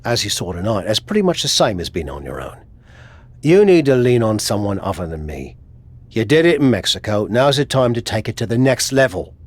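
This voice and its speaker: A frank, supportive confidant in a wrestling game. His voice sits fairly low and moves with lively intonation, earnest and conversational. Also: a little fast. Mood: earnest, urging.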